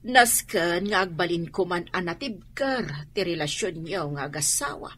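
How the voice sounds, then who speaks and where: A firm older mother in an Ilocano radio drama, with a rough, slightly low voice. She sounds sharp and concerned. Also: expressive, normal speed.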